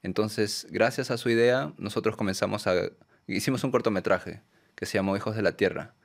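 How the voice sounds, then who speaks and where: A Peruvian man, a documentary filmmaker, answering interview questions in a soft, hesitant way with many fillers and tag questions. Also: on the low side, brisk, vocal fry. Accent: Peruvian Spanish. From Peru.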